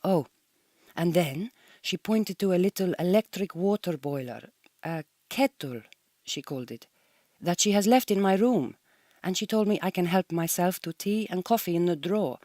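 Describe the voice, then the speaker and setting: A woman's voice: on the low side, expressive, moderate pace, mellow, no room sound. Homesick Hungarian woman, close and dry. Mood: offended, disdainful. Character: homesick, easily offended Hungarian migrant woman, wistful and proud. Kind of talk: recorded audio diary monologue in a radio drama.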